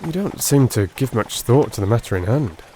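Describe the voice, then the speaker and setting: A man voicing an earnest, excitable gentleman, a detective's companion, in a dramatised audiobook, polite and urgent. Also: medium pitch, fairly quick, a little hiss or hum, lightly acted. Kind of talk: dramatised audiobook character reading.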